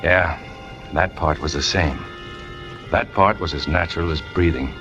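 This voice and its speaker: Deep voiced brooding american guy in a 40s radio noir confessing like a lovesick killer, music under him. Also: a little slow, dull audio. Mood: anguished brooding. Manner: terse, hard-boiled, brooding. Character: brooding, lovesick trapeze artist turned killer. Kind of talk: 1940s radio noir acting, confessional narration. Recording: old 1940s radio recording with music.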